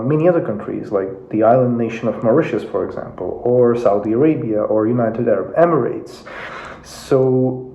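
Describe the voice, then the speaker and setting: A man from Russia whose first language is Russian, talking casually from his home office without a proper microphone, pausing often with fillers and restarts. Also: mid-range voice, warm tone. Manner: hesitant, many fillers and restarts. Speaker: YouTube commentator. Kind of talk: casual talk to camera. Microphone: no dedicated microphone. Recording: roomy, dull lo-fi sound.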